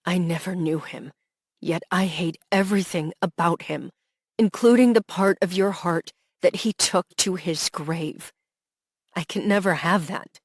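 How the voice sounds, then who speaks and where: A woman's voice: on the low side, steady tone, a little slow, breathy edge, dry recording, studio quality. A woman voicing a heartbroken young man who pours out jealous, desperate love, her delivery raw and pleading. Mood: anguished. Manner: raw, pleading, rising to anguished outbursts.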